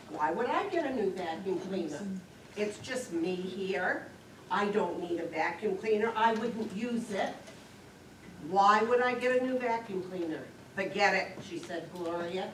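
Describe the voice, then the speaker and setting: A woman's voice: medium pitch, close to an everyday voice. Woman acting a chatty older sister, distant and echoey. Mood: aggrieved. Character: chatty, nagging older sister, woman with aches, self-absorbed. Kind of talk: amateur stage play dialogue. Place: lakeside community theater stage, picked up from afar. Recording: distant echoey stage recording, clear noise.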